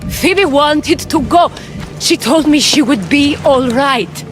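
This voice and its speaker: Game drama, ancient Athenian lady: proud, defensive, poised, raised pitch, score behind. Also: animated, slow pace. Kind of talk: dramatic video game cutscene acting. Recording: music behind the voice.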